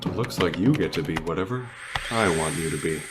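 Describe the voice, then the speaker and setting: An actor playing a cold, taunting villain who controls minds, in an amateur superhero audio drama. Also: male voice, low voice, animated, fairly quick, over music. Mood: mocking. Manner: taunting, mock-admiring. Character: gloating cold male mind-controlling villain.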